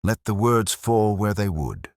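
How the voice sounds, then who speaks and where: A man narrating a scripted detective story in a measured, literary, atmospheric manner. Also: on the low side, sing-song, dry recording, studio quality.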